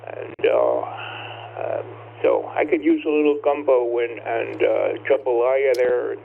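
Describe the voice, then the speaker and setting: A man's voice: medium pitch, expressive, measured pace, gravelly. A man chatting casually over amateur radio, rambling and hesitant in a folksy way, heard through a radio link. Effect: radio transmission. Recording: dull, humming, noisy radio link.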